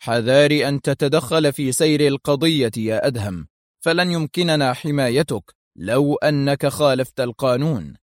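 A man's voice: mid-range voice, expressive, a little fast, a little mellow, dry recording, clean studio sound, natural voice. A man voicing a stern, exasperated male intelligence director who speaks with authority and warning in an audiobook thriller. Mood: warning, angry.

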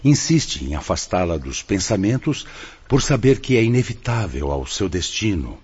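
An actor playing a wise, solemn spirit teacher in a radio drama, speaking in a didactic, oratorical way. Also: male voice, low voice, very animated, moderate pace, darkish tone, slightly rough, heavily acted. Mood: solemn, instructive. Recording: dull, muffled radio drama recording.

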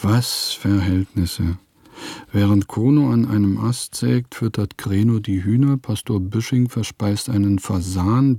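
Wry, creaky-voiced male diarist. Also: fairly low pitch, warm tone, no echo, clean studio sound, heavily acted. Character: sardonic provincial composer reading from his diary. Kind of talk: German radio play diary monologue.

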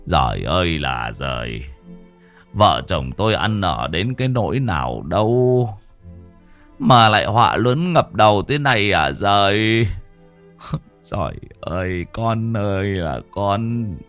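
A man's voice: expressive, unhurried, fairly bright tone, soft background music. A man voicing a despairing village father. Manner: low, shaky lamenting. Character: anxious, grieving middle-aged village father.

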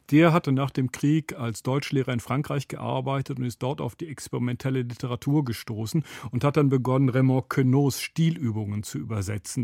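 A man's voice: mid-range voice, darkish tone, no echo. A man speaking as a guest critic in a radio studio interview, explaining in an expert, conversational way. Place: dry broadcast studio. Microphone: close studio microphone.

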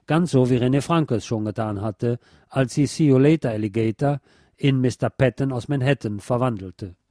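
A man's voice: mid-range voice, mellow, dry recording, clean studio sound. A man hosting a radio programme, reading a scripted narration on music history.

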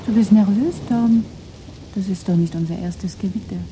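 A woman's voice: medium pitch, moderate pace, background noise, lightly acted. An actress playing an attentive wife talking familiarly in a radio drama, with rain and thunder behind her. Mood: concerned, familiar.

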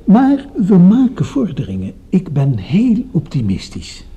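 An actor playing a smooth-mannered, condescending psychiatrist with quiet menace in a radio drama. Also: male voice, sits high, huge pitch swings, measured pace, exaggerated voice. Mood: smug, amused. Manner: patronising, pseudo-clinical, insinuating. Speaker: psychiatrist character.

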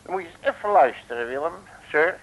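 An actor playing a cocky, boastful detective who talks in brash, bossy slang, in the style of a 1950s radio comedy serial. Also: male voice, fairly high pitch, expressive, fast. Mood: cocky, familiar. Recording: dull old radio recording.

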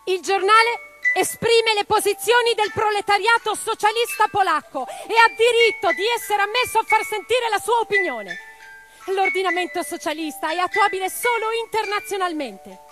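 An actress playing a spirited, passionate young woman revolutionary in a radio play, expressive and theatrical. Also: very high voice, normal speed, over music. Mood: fiery, oratorical. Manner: expressive, theatrical, declamatory when roused.

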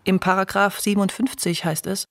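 A woman narrating a radio documentary in a measured, literary first-person style. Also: mid-range voice, flat delivery, gravelly, no room sound, clean studio sound.

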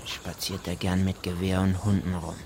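Male actor as rural gamekeeper, lowish voice, gruff, evasive, clipped colloquial delivery, radio play, background noise. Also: monotone, normal speed, lightly acted. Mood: hesitant, offhand. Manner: clipped, offhand, colloquial phrasing. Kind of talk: German radio drama acting.

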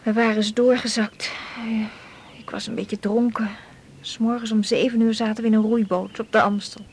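An actress playing a lovelorn, wistful young woman in a naturalistic radio drama, confiding in a halting, often breathy way. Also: on the high side, little pitch movement, a little hiss or hum, natural voice. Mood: heartbroken, nostalgic. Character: lovelorn, wistful young woman confessing hopeless love to colleague.

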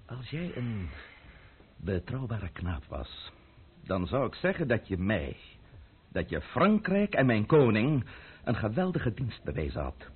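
An actor playing an imperious, scheming, middle-aged French count in a radio play, husky-voiced, haughty and lecturing. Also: male voice, lowish voice, sing-song, measured pace, long pauses, full character voice. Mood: grave, urgent. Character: imperious, scheming French count and royalist intriguer, impatient, sardonic. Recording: muffled, narrow-band old radio recording.

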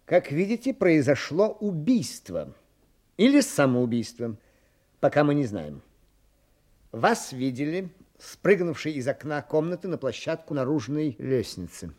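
An officious police commissioner in a radio play. His voice sits a little high and moves animatedly as he interrogates. He is formal and probing. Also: very animated, a little slow, darkish tone. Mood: stern, probing. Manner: formal, interrogating, muttering thoughtful hums.

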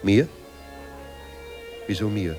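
An actor in a German radio play as a proud, suspicious émigré Austrian writer who drinks, formal and brooding. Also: male voice, lowish voice, lively intonation, extremely slow, over music. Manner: grandly formal, dramatic, brooding.

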